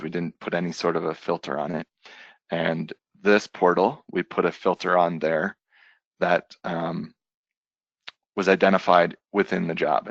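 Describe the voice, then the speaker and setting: Brief: low, gravelly, husky male presenter; relaxed demo delivery, full of ums; dry, dull web-call sound. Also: no echo. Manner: relaxed, many fillers and restarts. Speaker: software consultant giving a demo. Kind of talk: screen-share product demo.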